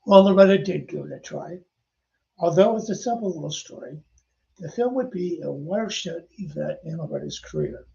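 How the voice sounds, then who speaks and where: A man's voice: fairly high pitch, animated, normal speed, gravelly, warm tone, highs cut off. An older man hosting a podcast, telling chatty anecdotes and speaking directly to his listeners. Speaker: podcast host and author. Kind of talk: casual storytelling narration.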